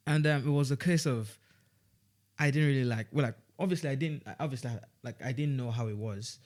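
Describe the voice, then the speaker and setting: A man's voice: medium pitch, a little fast, darkish tone. Young Nigerian man chatting in a rambling way. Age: young adult. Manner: rambling, many restarts and fillers. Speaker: student guest. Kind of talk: casual podcast chat. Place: podcast studio.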